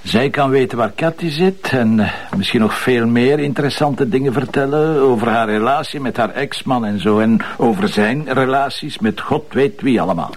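An actor playing a wry, stubborn police commissioner at home in a crime drama, gravelly, with a Flemish accent. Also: male voice, mid-range voice, moderate pace, lightly acted. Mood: stubborn, mocking.

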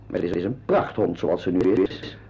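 A man's voice: low-pitched, moderate pace, creaky, mellow. An actor playing a genial, tactful veterinarian in a radio drama, informal as he gives advice. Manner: informal, advising. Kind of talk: radio drama acting, conversational. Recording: dull lo-fi radio play recording.

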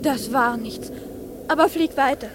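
High, dreamy little girl. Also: a little slow, background noise. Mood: eager, frightened. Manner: pleading, wistful. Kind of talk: radio play acting.

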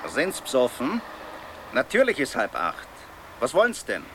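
Radio drama: impatient Viennese policeman, forceful, highly animated, street noise. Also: a little slow, full character voice. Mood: gruff, impatient. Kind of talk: 1970s radio drama acting.

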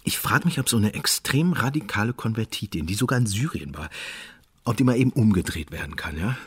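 An actor playing a restless, stubborn police investigator in a radio drama, with a rough, husky voice and a halting, colloquial, insistent manner. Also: male voice, fairly low pitch, expressive. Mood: probing. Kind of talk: naturalistic German radio drama acting.

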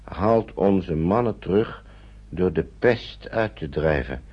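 An actor playing a cautious, hesitant English gentleman in hiding, husky and gravelly, in a radio drama. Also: sits low, animated, takes it slowly, exaggerated voice. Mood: reading gravely. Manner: hesitant, mumbled repetitions. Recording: dull lo-fi radio recording.

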